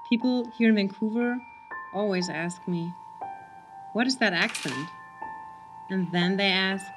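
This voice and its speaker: Lonely young german woman, accent, quiet wistful voice-over, soft piano under it. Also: lowish voice. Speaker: lead character and narrator. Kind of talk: film drama with voice-over narration.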